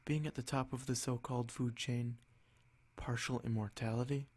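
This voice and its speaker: A man playing a noble vampire lord in an ASMR roleplay, haughty and possessive, speaking in a measured, condescending, softly threatening way. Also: medium pitch, flat delivery, natural voice. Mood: haughty, calm.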